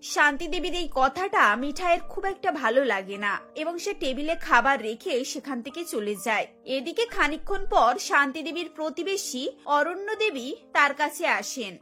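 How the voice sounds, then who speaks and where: A woman's voice: high voice, lively intonation, moderate pace, bright, forceful tone. A woman reading scripted narration for a cartoon story. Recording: music bed behind the voice.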